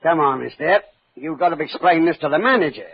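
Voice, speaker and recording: An actor playing a dogged, plain-spoken shop detective in a 1940s radio drama. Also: male voice, a little high, expressive, brisk, loud music behind the voice. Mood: officious, pressing. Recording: husky, muffled old radio recording.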